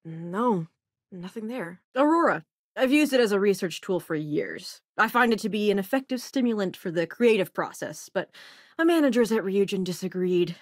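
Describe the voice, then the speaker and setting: A woman's voice: medium pitch, expressive, normal speed, no room sound, clean studio sound, natural voice. A woman voicing a sardonic, self-assured young female crewmate and researcher in a video game, with a casual, wry delivery. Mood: wry, relaxed.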